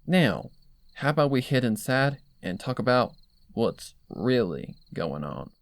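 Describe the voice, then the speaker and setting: A man voicing a steady, stern female country pony with a plainspoken, folksy manner in a storybook reading. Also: mid-range voice, measured pace, no echo.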